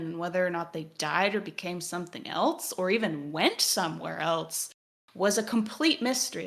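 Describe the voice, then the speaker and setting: Lower-pitched woman in analytical discussion. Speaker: podcast co-host. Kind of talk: discussion of a video game, partly reading text aloud.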